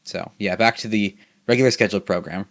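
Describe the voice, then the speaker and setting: A man's voice: on the low side, fairly even intonation, a bit bright. A man who is sick, giving a casual, candid update on his channel and projects. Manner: candid and self-deprecating, halting when unscripted. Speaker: channel creator.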